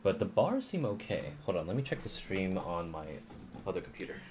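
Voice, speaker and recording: A man's voice: low-pitched, very animated, brisk. A man who streams games, chatting casually and reading game text aloud over game music. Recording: music playing behind the voice.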